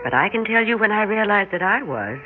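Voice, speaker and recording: Actress playing housewife narrator, tinny old radio. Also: female voice, mid-range voice, sing-song, brisk, lightly acted. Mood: wry narration. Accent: American. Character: suburban housewife and mother who senses the future, wry. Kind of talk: old-time radio drama acting and first-person narration. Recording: noisy, narrow-band vintage radio recording.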